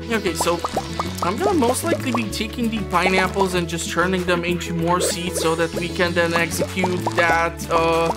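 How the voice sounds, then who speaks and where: A woman casually commenting on her farming game, rambling and tripping over words with joking asides. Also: on the low side. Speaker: gameplay commentator. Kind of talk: casual let's-play commentary. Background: game soundtrack.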